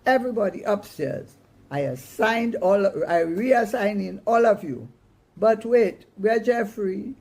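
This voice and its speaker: A woman's voice: mid-range voice, lively intonation, slow, darkish tone, voice pushed up. A woman voicing a bossy, exasperated mother in a storybook, with a scolding manner and emphatic Caribbean dialect. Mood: outraged. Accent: Caribbean, Dominica.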